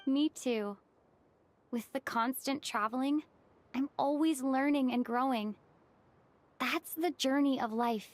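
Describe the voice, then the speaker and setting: A woman playing a sincere young female traveler in a video game, reflective, careful and quietly determined. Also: high-pitched, takes it slowly, pauses often, a little hiss or hum. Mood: warm, resolved. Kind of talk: video game story acting. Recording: music behind the dialogue.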